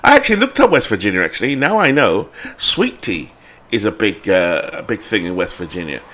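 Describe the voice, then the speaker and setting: A British man with a lowish voice talks casually over a computer baseball game, rambling from thought to thought. He hesitates and corrects himself often and keeps an easy, chatty flow. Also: big pitch swings, a little fast, fairly bright tone. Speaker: game channel host.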